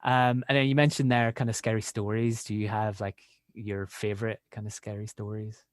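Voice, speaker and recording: A man's voice: fairly low pitch, little pitch movement, normal speed, dry recording, studio quality. A man hosting a casual conversational interview, asking loosely worded questions in a slightly hesitant way. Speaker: podcast interviewer.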